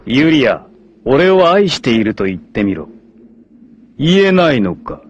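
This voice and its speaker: An actor playing an arrogant, obsessive warlord villain in a 1980s anime, haughty, menacing and theatrical in delivery. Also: male voice, mid-range voice, very animated, a little slow, husky, dull audio. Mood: possessive, imperious. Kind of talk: dramatic 1980s anime voice acting.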